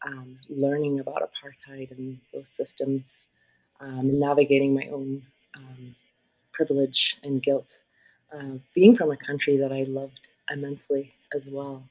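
A middle-aged woman from South Africa, a social worker and yoga facilitator, speaking reflectively as an interview guest with frequent pauses. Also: rumbling low, dull audio. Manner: thoughtful, searching, many pauses and ums.

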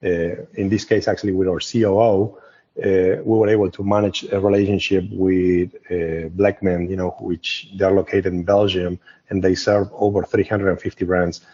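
A man with an Argentine Spanish accent talks in a low-pitched, mellow and husky voice. He explains things conversationally as an interview guest, in a discursive way. The audio is somewhat dull and muffled. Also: level tone, moderate pace. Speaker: company co-founder, interview guest.

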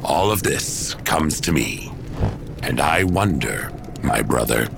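A man playing a stern superhuman warlord in an audio drama, passing grave judgment in solemn, weighty oratory with a gravelly voice. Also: animated, slow, background noise, exaggerated voice. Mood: grave accusation. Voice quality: very deep, gravelly.

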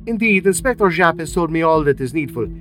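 A man's voice: fairly high pitch, lively intonation, fairly quick, pushed and bright, faint music behind, pitched above the performer's own voice. A man voicing a fussy, dapper middle-aged male detective who speaks precisely and politely with French touches. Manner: precise, polite, faintly French-flavoured phrasing.

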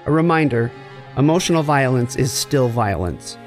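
Fiction podcast mentor: easygoing, supportive male therapist , actor playing, average pitch, earnest scripted read. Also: expressive, moderate pace, faint music behind, close to an everyday voice. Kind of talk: audio drama acting and scripted appeal to listeners.

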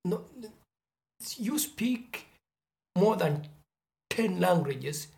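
A speaker from Uganda, talking in a reflective, measured way in a podcast conversation. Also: mid-range voice, expressive, creaky, a little mellow.

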